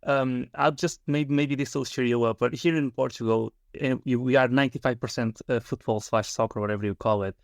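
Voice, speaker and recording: A man's voice: medium pitch, steady tone, a little fast, vocal fry, hardly any pauses, dry recording, studio quality. Young man asking podcast questions. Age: 20s. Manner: chatty, tangent-prone with fillers. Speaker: podcast co-host. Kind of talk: casual interview questions. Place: Portugal.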